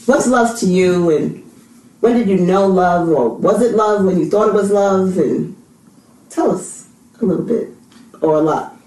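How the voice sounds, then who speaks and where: A woman's voice: mid-range voice, a little slow, pauses often, mellow. A woman hosting a casual, conversational interview, putting questions to her guests. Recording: roomy, distant mic with background noise.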